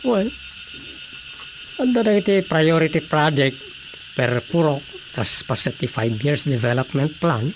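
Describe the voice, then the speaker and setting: A man's voice: on the high side, expressive, measured pace, pauses often, a little hiss or hum, low-quality recording. An actor playing a matter-of-fact village councilman in a radio drama. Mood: businesslike. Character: male village councilman, acting officer in charge. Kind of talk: Ilocano radio drama acting.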